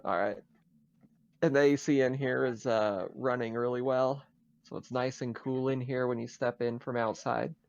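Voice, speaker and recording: A man's voice: medium pitch, steady tone, normal speed, creaky, no room sound. Male game master, casual narration, tabletop roleplay, dry close sound, slightly dull recording.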